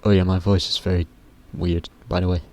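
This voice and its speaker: A teenage boy with a very deep voice, rambling casually to camera in a vlog, often stopping himself mid-sentence and swearing offhandedly. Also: level tone, fairly quick, darkish tone. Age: teens. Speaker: YouTuber.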